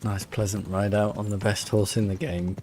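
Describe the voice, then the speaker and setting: A man's voice: on the low side, level tone, moderate pace, darkish tone, slight background noise. A man giving casual playthrough commentary on a video game, thinking aloud and muttering to himself as he plays. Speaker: streamer playing a video game.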